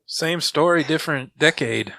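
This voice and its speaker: Male co-host, easygoing chat, bright tone, dry recording. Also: on the high side, moderate pace, vocal fry, no room sound. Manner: relaxed, agreeable back-and-forth. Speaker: podcast co-host. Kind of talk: casual chat.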